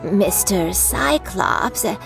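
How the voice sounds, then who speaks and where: An actress playing a kindly old granny shopkeeper in a video game, with a gentle, grandmotherly manner. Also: medium pitch, expressive, slow, vocal fry, over music. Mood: hesitant. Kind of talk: scripted video game dialogue.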